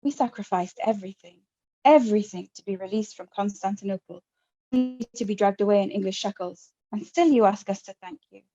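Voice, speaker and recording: A defiant male rebel orator rallies a crowd with a fiery, rousing speech, as a woman reads his lines aloud. The tone is mellow and warm. The audio is dull and lo-fi. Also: medium pitch, natural voice.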